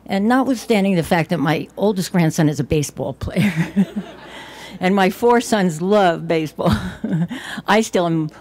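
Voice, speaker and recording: A woman's voice: on the low side, a little slow, a little mellow. An older woman giving a short, chatty ceremony speech from a podium, often breaking into laughter.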